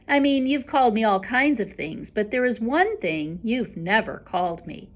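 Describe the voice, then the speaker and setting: A woman voicing a nerdy, clever, calmly confident schoolboy with a deliberate, pointed delivery in a storybook. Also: fairly high pitch.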